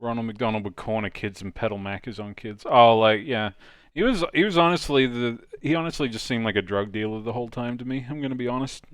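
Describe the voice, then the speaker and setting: A man's voice: mid-range voice, quick pace, clean tone, no echo, studio quality. A man hosting a live stream, chatting casually and joking with friends. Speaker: host of a live dubbing stream.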